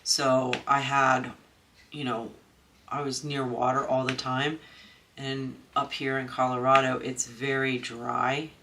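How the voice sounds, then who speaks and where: A woman's voice: rumbling low, flat delivery, slow, pushed and bright, slight background noise. A woman from Maryland with a stuffy, congested nose, chatting casually at home while she crafts, rambling with lots of ums and restarts. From Maryland, USA. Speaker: crafting channel host.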